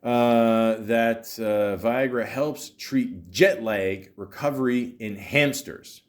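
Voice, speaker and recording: A man hosting a casual comedic podcast, rambling and halting, with profane, sardonic asides. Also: medium pitch. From Canada. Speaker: podcast host.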